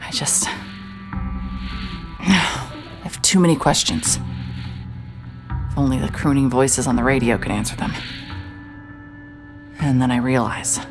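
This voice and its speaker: A woman playing a very deep-voiced sardonic investigator. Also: slow pace, long pauses, over music. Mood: wry. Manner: wry, dry, self-mocking delivery. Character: hard-hearted, sardonic woman private investigator. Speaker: private investigator. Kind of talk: first-person scripted narration.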